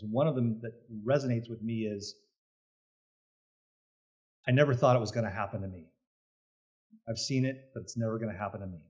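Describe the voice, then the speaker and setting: Middle-aged male keynote speaker, lectern mic, conference hall, easygoing delivery with fillers and restarts. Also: medium pitch. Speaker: keynote speaker, disaster consultant. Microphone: lectern microphone.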